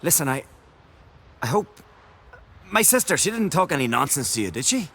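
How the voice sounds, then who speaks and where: Shy, earnest young islander. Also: male voice, on the high side, big pitch swings, moderate pace, fairly bright tone, slightly rough, exaggerated voice. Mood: flustered. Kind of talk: video game dialogue acting.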